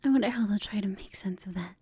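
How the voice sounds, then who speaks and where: A woman playing a confident, teasing female villain in an intimate ASMR roleplay, speaking in a smug, seductive, breathy whisper. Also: medium pitch, quick pace, mellow, no echo. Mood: flirtatious.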